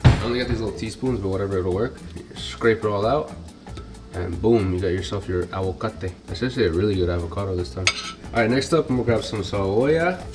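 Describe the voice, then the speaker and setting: A man's voice: fairly low pitch, fast talker, music in the background. A young man with a stuffed-up nose, chatting casually and cursing as he cooks in his kitchen. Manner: casual, profane, chatty with Spanish food words. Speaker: cooking vlogger. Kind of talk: casual cooking vlog. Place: home kitchen. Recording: clear kitchen noise behind. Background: sizzling pan and cooking noises.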